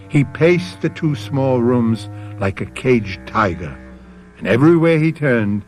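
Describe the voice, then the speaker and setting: A man hosting and narrating a radio mystery drama in an ominous, theatrical, deliberate manner. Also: mid-range voice. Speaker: host and narrator. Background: music.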